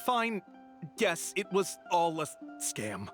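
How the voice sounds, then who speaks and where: A shifty swindler in an anime game. His voice sits high for a man and comes out slowly, hesitant and grudging, with faint music behind. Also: big pitch swings, fairly bright tone, full character voice. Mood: defeated. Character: evasive male swindler guide, grudging when cornered.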